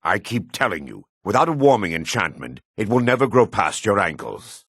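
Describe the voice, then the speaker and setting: An actor playing a solemn, world-weary dark elf man in a fantasy video game, with a raspy, measured, theatrical delivery. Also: lowish voice, very animated, no echo, studio quality, heavily acted. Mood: sardonic.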